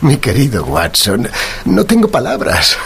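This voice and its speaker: An actor playing a brilliant, eccentric gentleman detective in a radio drama, speaking with courteous, wry, formal precision. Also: mid-range voice, huge pitch swings, unhurried, gravelly, heavily acted. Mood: touched, courteous. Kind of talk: radio drama acting, Spanish dub style.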